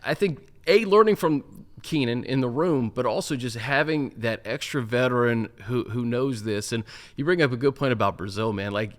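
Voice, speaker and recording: Man, mid-pitched, easygoing host who keeps the football talk moving. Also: normal speed. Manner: conversational, steers topics along. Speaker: show host. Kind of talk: casual sports talk.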